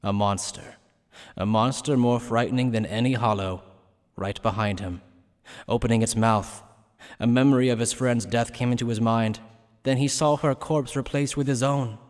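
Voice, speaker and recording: A man's voice: mid-range voice, steady tone, normal speed, big-room sound. A man narrating a dramatic scripted fantasy action story.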